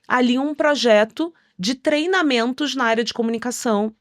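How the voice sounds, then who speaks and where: A middle-aged Brazilian woman telling stories conversationally as an interview guest, articulate and expressive, acting out quotes as she goes. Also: a little high, normal speed, no echo, clean studio sound. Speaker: podcast guest.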